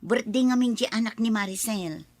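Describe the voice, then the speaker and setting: A woman's voice: a little high, normal speed, darkish tone, dry recording, close to an everyday voice. A woman playing a practical, sociable wife and mother in an Ilocano radio drama, homely and conversational, with an Ilocano accent. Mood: matter-of-fact.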